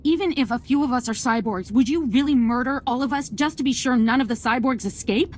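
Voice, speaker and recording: A woman's voice: high-pitched, a little fast, a little mellow, a little hiss or hum, close to an everyday voice. A woman playing a frightened civilian who pleads earnestly for her group in a video game cutscene. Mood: pleading.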